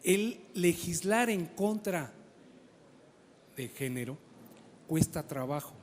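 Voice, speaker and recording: A man's voice: on the high side, expressive, slow pace, a little hiss or hum. Earnest man at a forum, echoing hall. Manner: earnest, rhetorical questions, some restarts. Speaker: forum participant. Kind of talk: spoken remarks at a panel forum. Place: conference hall. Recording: hall reverb in a large room.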